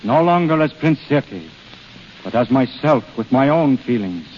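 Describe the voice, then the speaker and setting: An actor playing Death in human guise, a proud and anguished prince, in formal, theatrical 1930s radio drama style. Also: male voice, mid-range voice, expressive, moderate pace, slight background noise, natural voice. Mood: sorrowful, grave. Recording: muffled 1930s radio broadcast with long reverb.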